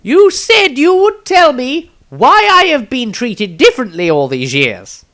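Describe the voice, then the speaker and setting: A man voicing an eager, eccentric wizard engineer in a fantasy story, excitable and slightly hesitant. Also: extremely high pitch, unhurried, dry recording, clean studio sound, voice pushed up, full character voice. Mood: inquisitive.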